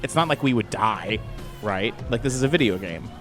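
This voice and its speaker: A man's voice: on the low side, animated, a little fast, fairly bright tone. A man playing a tabletop game, talking in character in a casual, informal, rambling way. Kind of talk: casual improvised in-character chat. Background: music.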